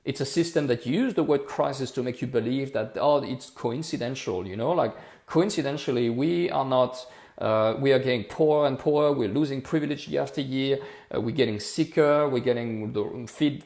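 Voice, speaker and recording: A middle-pitched man of forty speaks English, pressing his points with repetition. It is casual livestream talk, recorded with a noticeable room echo. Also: vocal fry, a little mellow. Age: 40s. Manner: impassioned, repetitive, rhetorical. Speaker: livestream host.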